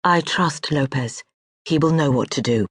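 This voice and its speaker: An audiobook narrator voicing a proud, imperious noblewoman who speaks crisply and gives sharp commands. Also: medium pitch, unhurried, no room sound.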